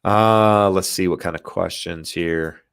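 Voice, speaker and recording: A very low-pitched man sounding stuffed-up and nasal, talking loosely through a live Q&A. He hesitates, restarts and fills gaps with "um" as he picks viewer questions. The sound is dry and close. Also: steady tone, measured pace, creaky, a bit bright, clear, resonant tone, no room sound. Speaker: real estate livestream host. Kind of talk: casual live Q&A.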